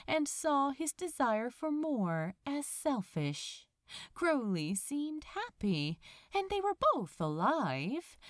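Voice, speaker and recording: A woman narrates a story in a fairly high voice, unhurried and measured. Her delivery is expressive, with very lively intonation. It is a scripted reading, recorded close and dry. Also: no echo. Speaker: podfic narrator. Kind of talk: scripted fiction narration, read aloud.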